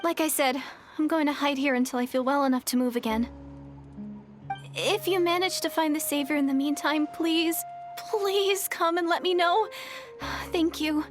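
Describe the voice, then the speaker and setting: A woman's voice: high voice, normal speed, over music, lightly acted. A woman playing an earnest young female character in a video game. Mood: subdued, reassuring.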